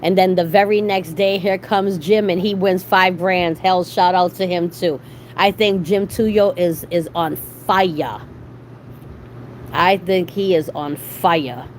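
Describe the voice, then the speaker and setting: A middle-aged woman with a fairly low voice chats casually to her live viewers. She talks in a salty, slangy way and swears freely. There is clear background noise in the recording. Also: steady tone, slow. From Boston, USA. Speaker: livestream host.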